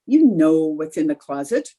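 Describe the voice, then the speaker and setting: A woman's voice: deep voice, sing-song, normal speed, dark tone. Woman playing a sly, swooping, scatterbrained housewife. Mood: feigned innocence. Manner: theatrical, feigned innocence. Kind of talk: old-time radio comedy acting.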